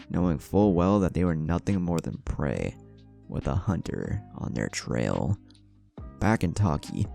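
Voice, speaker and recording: A man's voice: sits low, vocal fry, dark tone. A man narrating a scripted story in a dramatic style.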